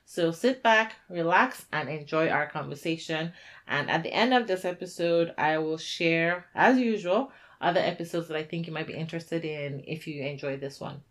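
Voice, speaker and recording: Low-pitched woman hosting a casual podcast. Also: normal speed. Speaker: podcast host.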